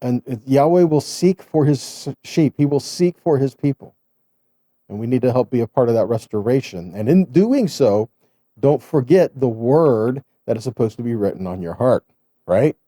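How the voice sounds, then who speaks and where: A man's voice: medium pitch, expressive, moderate pace, mellow, no room sound. A man giving a conversational sermon as a Bible teacher, reading scripture and explaining it with rhetorical questions and frequent check-in tags.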